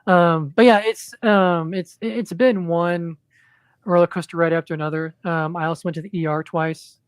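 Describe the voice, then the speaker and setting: A man chatting as a guest on a podcast, in a monotone, rambling way with lots of ums and restarts. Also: a little high, moderate pace, smooth, no echo, studio quality. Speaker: podcast guest, indie comic creator. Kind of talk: casual podcast chat.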